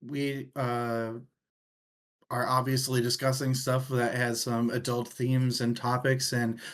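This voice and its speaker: Irreverent man co-hosting, mid-pitched voice. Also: level tone, slow pace. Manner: irreverent, casually profane. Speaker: podcast co-host. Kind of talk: casual book discussion.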